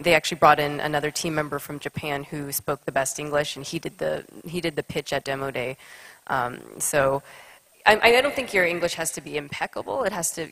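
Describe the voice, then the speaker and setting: A woman answers on a conference panel in a low, even voice. She speaks plainly, pausing on ums as she builds her point. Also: rough recording. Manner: measured, matter-of-fact, frequent ums. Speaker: accelerator partner on a panel.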